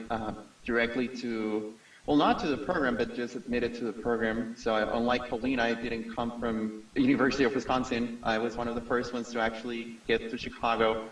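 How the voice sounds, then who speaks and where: A man in his thirties from Costa Rica, an industry scientist introducing himself at a panel discussion. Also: medium pitch. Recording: roomy echo, distant from mic.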